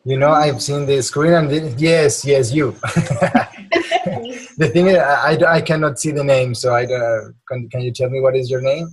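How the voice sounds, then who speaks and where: A man moderating an informal online meeting, halting and often repeating his words. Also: mid-range voice, fairly even intonation, low-quality recording. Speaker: meeting topic master, moderator. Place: online video call. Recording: noticeable background noise, clicks.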